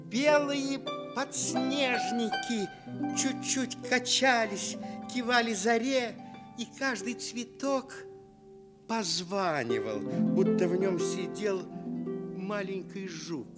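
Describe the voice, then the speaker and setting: A man narrating a fairy tale for Soviet radio, tender and expressive, with dramatic pauses. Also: sits high, loud music behind the voice. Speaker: storyteller.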